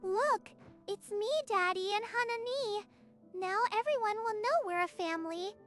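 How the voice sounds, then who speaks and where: A performer voicing a sweet, excitable, affectionate little girl in a manga dub, with a childlike, eager manner. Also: very high-pitched, a little slow. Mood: proud. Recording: background music.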